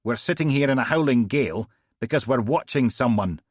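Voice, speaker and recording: A gruff, bossy woman detective, sarcastic and teasing, voiced by a man reading aloud, pitched well above his own low register. Her lines come loud and punchy in a dry, close sound. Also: animated, brisk, no room sound.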